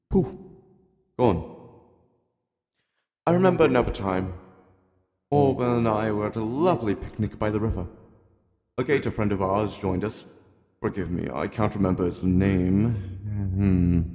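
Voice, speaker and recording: A man voicing a genteel, rambling elderly animatronic hippo from a game, politely ominous and gently admonishing in a slow, courtly monologue. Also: fairly low pitch. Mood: theatrical, admonishing, then wistful, rambling. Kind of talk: scripted character monologue. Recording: long cavernous reverb.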